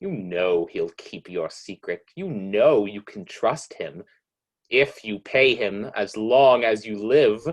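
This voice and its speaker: A low-voiced narrator, acted by a man, tells an old-time radio mystery in the second person. He is knowing and ominous, drawing out each phrase very slowly and insinuating guilt as he needles the heroine. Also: lively intonation, poor audio. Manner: deliberate, insinuating.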